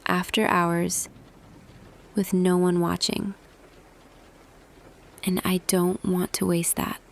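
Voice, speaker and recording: A woman playing a tender female boss confessing love to an employee in an intimate roleplay audio, hushed and hesitant, slipping between whisper and soft voice. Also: fairly low pitch, vocal fry.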